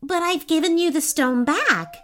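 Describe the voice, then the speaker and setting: A woman's voice: very high-pitched, moderate pace, a bit bright, soft background music, pitched above the performer's own voice. A woman voicing a kind-hearted, curious human girl with an eager, helpful manner in a storybook. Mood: curious, hopeful.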